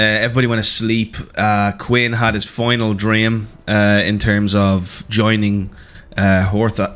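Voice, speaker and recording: A hoarse man, the game master, recapping a tabletop campaign in a casual, joking, swearing way. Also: on the low side, fairly bright tone. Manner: joking, swearing, laughing often. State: hoarse, blew his voice.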